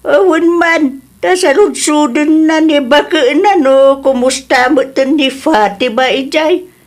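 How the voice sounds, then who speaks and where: A woman's voice: sits high, a little slow. A woman acting a nosy, worried housemaid and mother in an audio drama, exclamatory, with an Ilocano Filipino accent.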